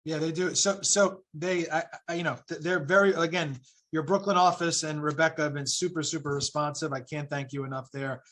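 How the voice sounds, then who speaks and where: A man's voice: on the high side, steady tone, a little fast, a bit bright. A man serving as a council member, asking questions at a hearing in a casual, friendly way and reading prepared questions.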